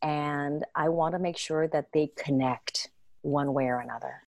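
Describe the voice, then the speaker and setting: A woman being interviewed about reading children's books online, talking in an earnest, expressive way and laughing easily. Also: deep voice, a little slow, no echo, clean studio sound. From United States. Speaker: guest, children's book reader. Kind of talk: casual interview answers.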